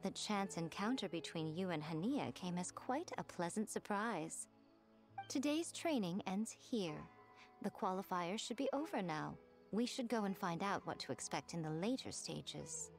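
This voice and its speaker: A woman voicing a composed, knowing, coolly amused mentor in a video game, with measured, formal phrasing. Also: fairly low pitch, expressive, faint music behind.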